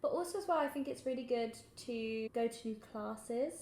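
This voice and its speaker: A woman's voice: a little high, moderate pace, mellow. A young woman co-hosting a podcast, chatting in a gushing, laugh-prone way. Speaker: podcast co-host. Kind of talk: casual chat. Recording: echoey room.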